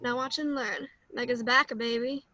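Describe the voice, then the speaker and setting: A woman's voice: on the high side, steady tone, moderate pace, sharp tone, poor audio. A woman playing a cocky, self-important, would-be suave secret agent in a comedic play reading. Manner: self-important, flustered when challenged.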